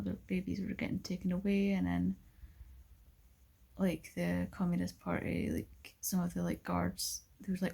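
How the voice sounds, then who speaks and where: A woman's voice: lowish voice, creaky, warm tone, husky. A young woman vlogging in a casual chat to the camera, rambling and filling her talk with "like" and "um". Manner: rambling, full of "like" and "um".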